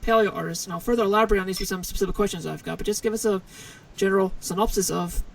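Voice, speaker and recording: A man's voice: sits high, rapid-fire, gravelly, distant mic, low-quality recording. A man hosting a podcast, introducing his panel and guest with eager, filler-laden remarks. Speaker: podcast host.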